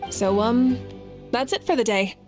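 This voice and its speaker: A woman's voice: fairly high pitch, a little slow, faint music behind, natural voice. A woman voicing a confident, friendly game guide. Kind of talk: game cutscene voice acting.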